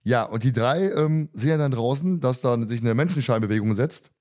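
A man's voice: medium pitch, moderate pace, darkish tone. A man hosting a casual podcast discussion, recapping and commenting in a colloquial, teasing, joking way. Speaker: podcast host.

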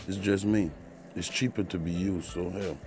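A man's voice: sits low, steady tone, moderate pace, background noise. A man from Trinidad and Tobago with a Caribbean accent, hosting an informal solo podcast in an earnest, preachy way and repeating points for emphasis. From Trinidad and Tobago, partly Jamaica. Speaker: podcast host.

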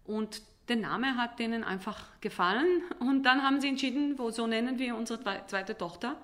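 A woman's voice: on the high side, fairly bright tone. A woman from Israel, speaking as an interview guest, reflective and often searching for words in a language that is not her first. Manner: halting, searching for words in non-native German.

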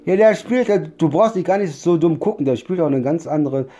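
A man's voice: a little high, lively intonation, brisk, lo-fi sound. A man who has a cold, joking crudely and rambling between songs during a live stream. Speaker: singer performing live. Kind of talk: casual banter between songs on a livestream. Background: loud piano and guitar.

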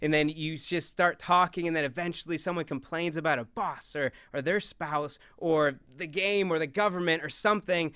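Young man, big loud voice, animated stage talk, bright crisp tone, dry close sound. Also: fairly high pitch, moderate pace, hardly any pauses, dry recording. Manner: animated, talks with hands, moves around on stage. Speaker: youth speaker. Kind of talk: lively stage talk on scripture.

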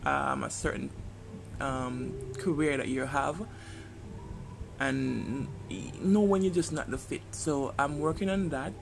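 A man's voice: medium pitch, takes it slowly, gravelly, slight background noise, rough recording. A man with a Jamaican accent speaking in a casual personal podcast monologue, hesitant and reflective, often searching for his words. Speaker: podcast host.

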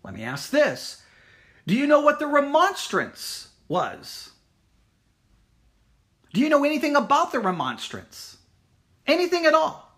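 A church teacher speaks informally and directly to listeners. The pitch moves widely and expressively. The delivery is emphatic and challenging, built on rhetorical questions and repeated phrases. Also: huge pitch swings, a little slow, pauses often, fairly bright tone. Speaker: Bible teacher at a Baptist church.

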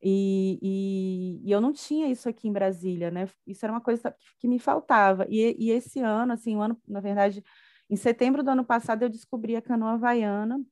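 A woman's voice: on the high side, a little fast, a little mellow, dry recording, clean studio sound. A middle-aged woman from Bahia with a Brazilian accent, chatting casually as an interview guest. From Salvador, Bahia, Brazil. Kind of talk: casual chat.

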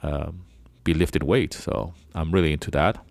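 Very deep-voiced man rambling. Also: fairly even intonation, moderate pace, gravelly, a little mellow, no echo. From Venezuela. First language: Spanish. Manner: rambling, loose and chatty. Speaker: podcast host. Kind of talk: casual reflective monologue.

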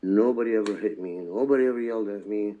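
An older man from Palestine who moved to the US as a child, speaking earnestly and reflectively in a personal oral history interview, with deliberate phrasing and emphatic repetition. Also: on the low side, phone-quality sound. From born in Palestine, moved to the US as a child.

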